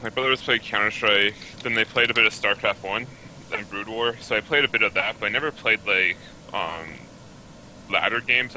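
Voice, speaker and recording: A young man from Canada talks easily as a guest on an online show. His voice is low-set but very bright and sharp. He rambles casually and fills his story with "like". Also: slight background noise, rough recording. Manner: laid-back, many "like" fillers. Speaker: guest esports player. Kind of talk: casual interview chat.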